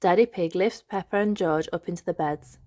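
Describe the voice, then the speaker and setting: A woman narrating a read-aloud story for young children, expressive and carefully enunciated. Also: on the low side, brisk, no echo, clean studio sound. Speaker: host and storybook reader.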